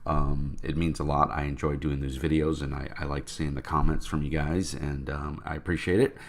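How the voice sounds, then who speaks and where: A man with a very low, froggy voice, thickened by a cold. He talks casually and rambles, with plenty of ums and uhs. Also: level tone, moderate pace, creaky. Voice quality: deep, froggy voice. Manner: rambling, with many fillers. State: congested from a cold. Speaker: tabletop game vlogger. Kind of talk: casual rambling talk to camera.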